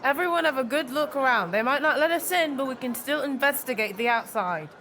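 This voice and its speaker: A woman's voice: sits high, expressive, a little fast, a bit bright. A woman playing a protective, determined young girl investigator in an audio drama, earnest and urgent. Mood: cautious.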